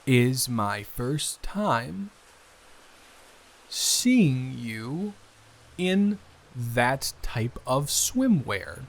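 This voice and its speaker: A man playing an awkward, earnest young man in an improvised intimate roleplay, hesitant, rambling and quick to apologize. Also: medium pitch, full character voice. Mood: flustered, apologetic. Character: awkward, earnest, smitten young man, best friend's brother.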